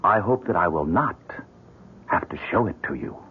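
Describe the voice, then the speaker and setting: An actor playing a sinister, smooth-talking stranger who bargains in formal, deliberate phrases, in old-time radio drama style. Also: male voice, low-pitched, animated, a little hiss or hum. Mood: smugly menacing. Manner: theatrical, deliberate, formal speech. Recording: muffled, tinny radio drama recording.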